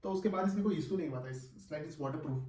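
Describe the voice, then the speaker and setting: A man talks through a product review in a casual, conversational way. His voice sits a little higher than average. He is some way from the microphone in a clearly echoey room, and he drops English phrases and short check-in questions into his talk. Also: a little fast, a little mellow, far from the mic. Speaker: vlogger reviewing gear.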